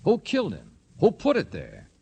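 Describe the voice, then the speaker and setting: An actor playing a brash, wisecracking private investigator in a 1950s radio drama, with clipped, slangy, insistent delivery. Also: male voice, high voice, huge pitch swings, normal speed, creaky, dark tone, long reverb tail, slight background noise, heavily acted.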